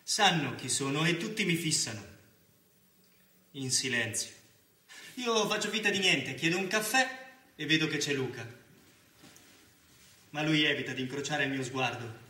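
An actor playing a sober, dogged newspaper journalist, narrating in measured, clipped phrases as theatre. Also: male voice, a little high, expressive. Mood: watchful. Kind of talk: theatrical first-person narration in present tense. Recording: roomy stage sound with loud music. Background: music.

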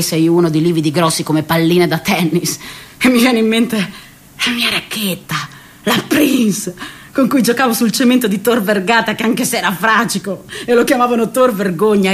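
A bitter, cocky man of thirty played by a woman rants through a radio play monologue. The voice is a medium-pitched one, restless and colloquial, moving abruptly between low muttering and outbursts. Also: close to an everyday voice. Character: bitter, cocky thirty-year-old jobless man on a scooter.

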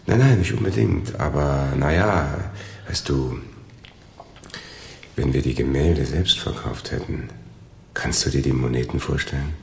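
An actor playing a tentative, good-humored accomplice in a radio crime drama, hesitant and a little evasive. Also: male voice, extremely low pitch, unhurried, gravelly, pauses often, exaggerated voice. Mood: musing. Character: hesitant, loyal male crook, awed junior accomplice. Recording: roomy echo, faint background noise.

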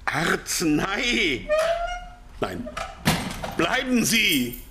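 A man's voice: sits high, sing-song, very slow, very noisy, full character voice. An actor playing a captive businessman in a German radio crime drama, insistent, exasperated and pleading. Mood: desperate pleading.

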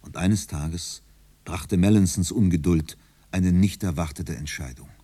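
A man narrating a literary radio drama as a reflective storyteller, in a measured, contemplative way. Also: sits low, mellow, husky. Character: reflective male storyteller of a dreamy novel.

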